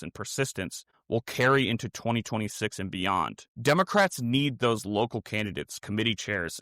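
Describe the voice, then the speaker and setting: Low-pitched American man reading political commentary. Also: a bit bright, no room sound, clean studio sound. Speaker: host of a political commentary podcast. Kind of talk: scripted political commentary read aloud.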